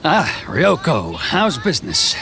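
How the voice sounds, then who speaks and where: An actor playing a corrupt, self-important tax official in a video game, pompous and officious with a gravelly voice. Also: male voice, fairly high pitch, huge pitch swings, slow, a crowd in the background, exaggerated voice. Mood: smug.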